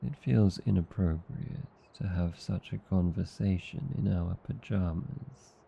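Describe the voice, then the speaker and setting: Man voicing a deep, gravelly Australian vampire husband. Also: muffled recording, a little hiss or hum, exaggerated voice. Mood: tender. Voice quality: low, dark, gravelly. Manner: slow, tender, soothing. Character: gentle, reassuring vampire husband. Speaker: voice actor. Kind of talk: intimate ASMR roleplay.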